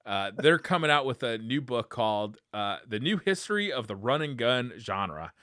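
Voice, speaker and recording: A man's voice: mid-range voice, sing-song, moderate pace, fairly bright tone, clear, resonant tone, no echo, studio quality. A man co-hosting a podcast, chatting casually and enthusiastically about video game news in informal, slangy language. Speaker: podcast co-host.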